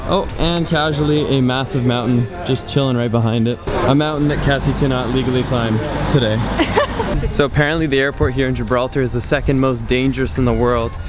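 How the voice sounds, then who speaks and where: A man vlogging casually to camera in an upbeat, chatty and jokey way, as a travel host. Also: medium pitch. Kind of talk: casual vlog chat to camera.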